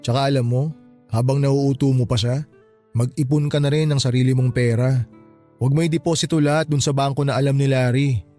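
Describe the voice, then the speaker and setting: An actor playing a smooth, scheming lover with a casual, coaxing, teasing manner in a Tagalog radio drama. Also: male voice, mid-range voice, steady tone, a little fast, warm tone, soft background music, lightly acted. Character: smooth, scheming male lover, a tricycle driver.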